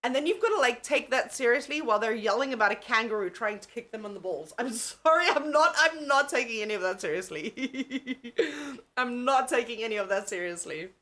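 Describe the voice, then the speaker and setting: Young female livestream host, mixed South African accent, slightly high pitch, laughs often, casual and loose. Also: lively intonation, a little fast, bright, forceful tone. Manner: giggly, chatty, swears freely. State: tired. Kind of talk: casual live chat with viewers.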